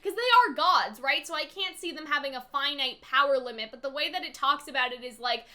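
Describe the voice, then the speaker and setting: Bright, high-pitched woman chatting casually. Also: expressive, fairly quick. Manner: informal and chatty, often seeking agreement. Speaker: online video host analysing animated series lore. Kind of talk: casual fan analysis and discussion.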